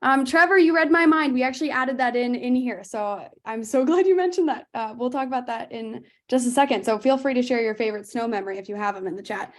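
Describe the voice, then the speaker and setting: A woman's voice: a little high, fast, hardly any pauses. A young woman hosting a video-call webinar in a chatty way, with frequent fillers and laughs. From United States. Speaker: webinar host.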